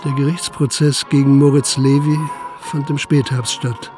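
An actor playing a principled, stubborn Prussian police detective in a German radio play, measured, insistent and formal. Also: male voice, mid-range voice, flat delivery, music in the background, close to an everyday voice. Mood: sober, resigned.

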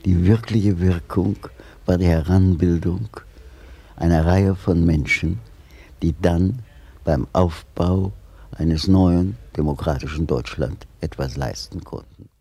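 An older man giving a retrospective account in deliberate, halting phrases with pauses. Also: deep voice, fairly even intonation, creaky, mellow, husky, no echo. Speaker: former activist recalling his work.

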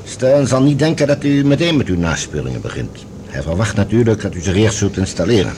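An actor playing a cautious, formal general and colonial governor in a science fiction radio drama, speaking with measured authority. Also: male voice, on the low side, sing-song, a little mellow, slight background noise. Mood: cautious, instructing. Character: commanding, cautious male general and newly appointed colonial governor.

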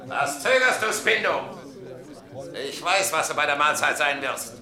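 A male actor playing a harsh, mocking Roman soldier who gives drawn-out commands in a theatrical radio play. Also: on the high side, expressive, crowd noise. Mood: contemptuous. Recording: very echoey hall, music behind.

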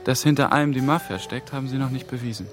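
A man playing a young, careful and sceptical European institution trainee in a radio drama, speaking in a measured way. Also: medium pitch, lightly acted. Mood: firm, defensive. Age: young adult. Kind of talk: German radio drama dialogue. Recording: music behind the dialogue.

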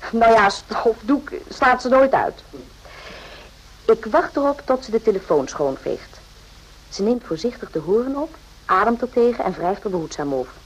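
An actress playing a calm, observant army doctor in a radio drama, speaking in a measured, husky voice. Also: female voice, on the high side, low-quality recording. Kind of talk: radio drama narration and dialogue.

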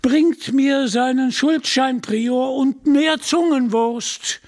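Male narrator as gluttonous medieval bishop, pompous, unctuous, high-pitched, condescending, storybook villain. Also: slow. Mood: commanding. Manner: pompous, mock-courteous, condescending. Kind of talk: audio storybook character voice.

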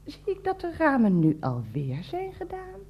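A woman's voice: high voice, huge pitch swings, moderate pace, lo-fi sound, a little hiss or hum, exaggerated voice. An actress playing a prim, self-righteous cleaning lady in a radio drama, formal and deferential to her employer. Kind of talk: Dutch radio drama dialogue.

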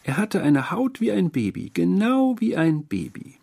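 A man voicing a sweet, doting middle-aged landlady in an audiobook story, cooing and over-familiar with a quietly eerie edge. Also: high-pitched, huge pitch swings, measured pace, gravelly, husky, voice pushed up, exaggerated voice. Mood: admiring, eerie.

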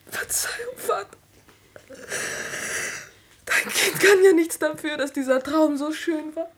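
A woman's voice: high-pitched, slow pace. An actress playing a tender, anxious young commoner girl in love in a classical tragedy radio play. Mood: heartbroken.

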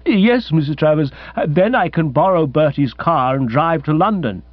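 A man doing a meek, flustered, high-pitched young man. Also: animated, moderate pace, no echo, lo-fi sound. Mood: apologetic, nervous. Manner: stammering, conciliatory.

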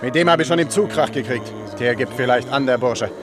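An actor in a German radio drama playing a big young college bully, mocking, aggressive and quick to anger. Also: male voice, mid-range voice, music in the background, natural voice. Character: big, sneering young college bully, hot-tempered and insulting.

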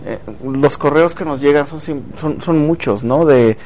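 Higher-voiced man hosting a chat show. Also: a little slow, darkish tone, low-quality recording. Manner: chatty, conversational, addresses viewers directly. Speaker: TV show host. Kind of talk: casual talk show chat.

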